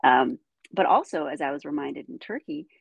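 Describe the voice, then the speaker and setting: A middle-aged woman, first raised speaking Ukrainian and from the United States, telling her life story as a guest in animated conversation. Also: sits low, moderate pace, creaky, breathy edge, dry recording, phone-quality sound. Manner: animated storytelling, frequent "you know". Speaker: guest, dancer.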